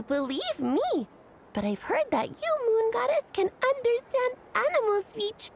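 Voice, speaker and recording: A woman's voice: extremely high pitch, creaky, a little hiss or hum, heavily acted. A woman voicing a mischievous, free-spirited little fluffy creature in a video game, with theatrical, exclamatory delivery. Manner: theatrical, exclamatory storytelling with giggles and drawn-out words.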